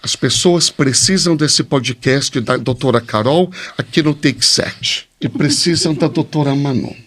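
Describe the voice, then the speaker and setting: A man's voice: medium pitch, unhurried, a bit bright. A man with a Brazilian accent, a priest speaking conversationally as a podcast guest.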